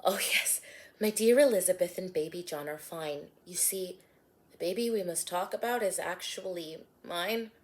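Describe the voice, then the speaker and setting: A woman's voice: lowish voice, normal speed, lightly acted. Woman voicing a hesitant young bride-to-be. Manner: soft and hesitant. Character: trembling young betrothed woman.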